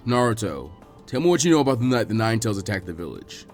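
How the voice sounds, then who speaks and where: A man acts out an adult male teacher of young ninjas in a story, pitched well down. He is laid-back and dry. Beneath the gruffness he is caring and protective. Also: sing-song, quick pace, soft background music. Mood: calm, prompting. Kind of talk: character voice in fan fiction reading.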